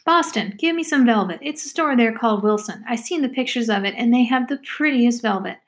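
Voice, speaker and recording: A woman's voice: a little high, animated, brisk, slight background noise, lightly acted. A woman voicing a ragged, talkative, hungry runaway girl with blunt rustic speech in a story reading. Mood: wistful, determined.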